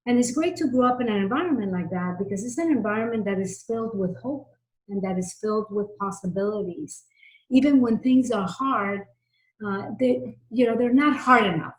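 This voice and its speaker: A woman's voice: a little high, moderate pace. A middle-aged woman from Miami of Cuban family answering interview questions in an earnest, conversational way, repeating points for emphasis. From Miami, United States, of Cuban family. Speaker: interview guest, technology executive. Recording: roomy, echoey sound.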